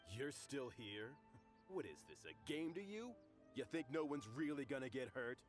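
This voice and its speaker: A man's voice: medium pitch, big pitch swings, moderate pace, soft background music, natural voice. A man voicing a sneering, bullying thug in a video game, mocking and laughing at his target. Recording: game audio with faint ambience.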